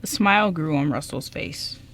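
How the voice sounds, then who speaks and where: A woman's voice: deep voice, animated, normal speed, a bit bright. A woman reading scripted narration for an amateur radio drama.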